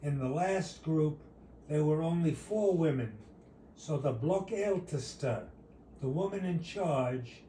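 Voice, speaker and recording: A man reading his own short story aloud as its author, in a measured, deliberate storytelling manner. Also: a little high, warm tone. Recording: roomy, distant mic, faint noise.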